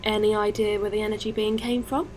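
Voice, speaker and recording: A woman's voice: medium pitch, level tone, quick pace, a little mellow, slight background noise, close to an everyday voice. A woman playing a friendly, caring alien spacefarer in a science-fiction audio drama, talking in a casual, considerate way. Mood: teasing.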